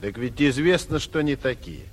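A man playing a stubborn, unimpressed tavern servant in a 1940s radio play, laconic and slyly servile, with a husky voice. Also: mid-range voice, expressive, moderate pace, natural voice. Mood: sly, indifferent. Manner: laconic, sly, servile on the surface. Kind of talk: 1940s theatrical radio acting.

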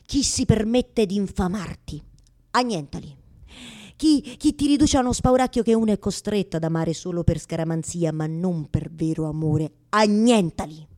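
An actress playing an anguished, fearful woman who argues with God in a halting, repetitive theatrical monologue. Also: lowish voice, lively intonation, dark tone, close to an everyday voice. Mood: impassioned pleading. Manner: halting repetitions, pleading, swings from murmurs to outcries.

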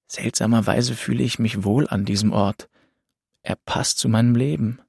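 A man's voice: lowish voice, gravelly, a little mellow, husky, dry recording, studio quality, exaggerated voice. An actor playing a melancholy young man who narrates his road trip in an introspective, wistful way, in a radio play. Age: young adult. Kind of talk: first-person radio play narration and dialogue. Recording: music behind the voice.

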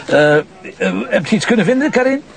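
An actor playing a wry, stubborn police commissioner in a radio drama, with a Flemish accent and dry, colloquial banter. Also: male voice, sits high, sing-song, brisk. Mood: urgent, surprised.

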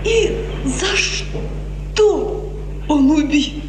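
A very high-pitched, anguished young daughter, proud and defiant, in a slow, theatrical radio-tragedy style. She comes through an echoey, noisy 1950s recording. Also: expressive, full character voice. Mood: anxious, subdued. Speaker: actress.

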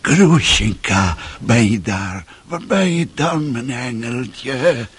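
A man's voice: medium pitch, lively intonation, takes it slowly, husky. An actor playing a lustful, impatient old father in a radio play, calling out theatrically. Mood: wheedling, eager.

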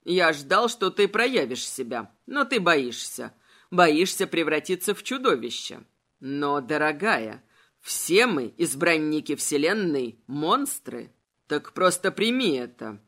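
A cold male immortal villain from a fantasy audiobook, acted out by a woman. The voice drops low and moves with slow, insinuating mockery. Every phrase is measured and manipulative. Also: very animated, fairly bright tone, full character voice. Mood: insinuating, contemptuous. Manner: insinuating, unhurried, mocking.

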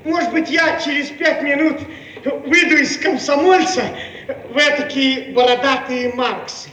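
An actor playing a brash, excitable young Communist activist, boisterous and mocking, in a theatrical radio comedy. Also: male voice, very high-pitched, a little slow, heavily acted. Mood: excited, joking. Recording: echoey, distant, faintly noisy radio recording.

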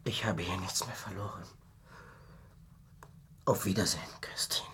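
A man's voice: deep voice, measured pace, fairly bright tone, a little hiss or hum. An actor in a German radio play playing a passionate young man in love, intense, wounded and pleading. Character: passionate young male lover, desperate and wounded.

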